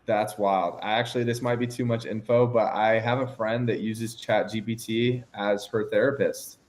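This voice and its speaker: A young man chatting casually on a speed date, relaxed and agreeable, often repeating himself. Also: lowish voice, normal speed. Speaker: dating show lead.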